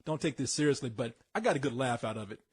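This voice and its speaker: A man's voice: medium pitch, lively intonation, fast, husky. A man co-hosting a podcast in casual chat, often stammering and restarting, mild and conciliatory. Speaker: podcast co-host.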